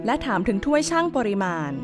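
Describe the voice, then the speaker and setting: A woman's voice: sits high, sing-song, fast talker. A woman reading a scripted fairy tale for children as a storyteller. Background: music.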